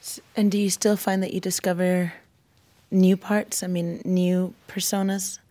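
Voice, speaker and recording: A woman interviewer with a notably low pitch and a soft, mellow tone. She builds her questions in long, winding sentences, restarting often and filling gaps with you knows. It sounds like a relaxed, candid sit-down talk. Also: measured pace. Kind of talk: candid conversation.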